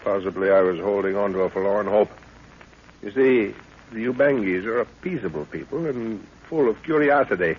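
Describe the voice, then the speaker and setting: Seasoned, low-voiced, commanding jungle explorer. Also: male voice, expressive, husky. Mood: thoughtful. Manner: brisk, fatherly, commanding. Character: seasoned, confident older male jungle explorer, hearty and commanding. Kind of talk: 1930s radio drama acting. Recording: dull, hissy 1930s radio recording.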